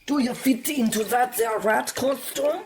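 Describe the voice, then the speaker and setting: A woman's voice: a little high, unhurried, noisy background. A woman playing a plucky, bossy orphan child with folksy theatrical delivery in a comic audio drama. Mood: brash. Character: plucky orphan child, leader of circus troupe.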